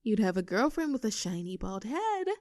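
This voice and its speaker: A woman's voice: medium pitch, sing-song, moderate pace, a little mellow, no echo, studio quality, lightly acted. A woman playing an affectionate, teasing young girlfriend in an intimate roleplay audio, coaxing and giggly in a conversational way. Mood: playful, curious. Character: playful, clingy, affectionate young girlfriend teasing her partner.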